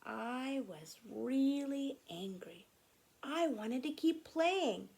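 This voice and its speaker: A woman's voice: high-pitched, animated, drawn-out words, creaky, darkish tone, breathy edge, pitched above the performer's own voice, heavily acted. A woman voicing an earnest, curious little boy in a picture book. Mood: apologetic.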